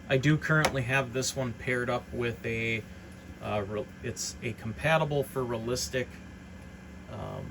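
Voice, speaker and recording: A man's voice: medium pitch, noisy background. A man giving an informal, unscripted hobbyist product demonstration in a rambling, matter-of-fact way. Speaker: hobbyist radio reseller presenting a demonstration.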